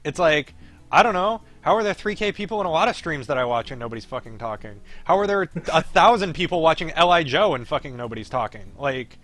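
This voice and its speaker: A man's voice: a little high, expressive, quick pace, a bit bright, faint music behind. A man chatting casually on a game livestream, blunt and sweary, reading from the game at times. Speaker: streamer reading a visual novel aloud. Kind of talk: casual livestream chat with read-aloud game dialogue.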